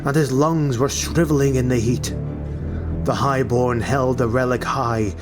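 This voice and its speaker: Male fantasy narrator, mid-pitched, unhurried dramatic read over a clear music bed. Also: steady tone. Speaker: audiobook narrator.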